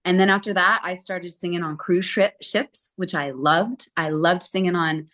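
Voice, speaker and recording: A woman in her forties talks through her early years in a relaxed, chatty way. Her voice sits a little low for a woman. She keeps the story moving with lively asides. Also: normal speed. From Southern California. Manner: chatty, animated, acts out quotes. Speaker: interview guest, singer. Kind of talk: casual storytelling interview.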